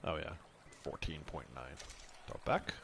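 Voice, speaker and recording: A young man streaming a game, chatting casually with his viewers in a loud voice that carries. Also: extremely low pitch, normal speed, background noise. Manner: chatty, relaxed, answers chat. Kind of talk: casual livestream chat. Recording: game sounds clearly behind.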